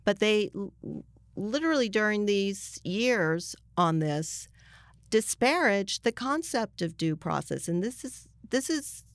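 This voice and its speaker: A woman's voice: medium pitch, lively intonation, slow pace, no room sound, studio quality. A woman speaking as a journalist guest in an interview, making her case emphatically and breaking off to rephrase. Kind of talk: argumentative interview discussion.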